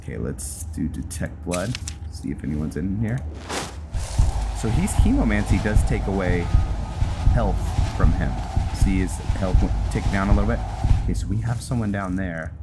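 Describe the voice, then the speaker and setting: A man giving casual roleplay game commentary, halting as he thinks aloud. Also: deep voice, lively intonation. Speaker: gameplay host and roleplayer. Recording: loud music behind the voice.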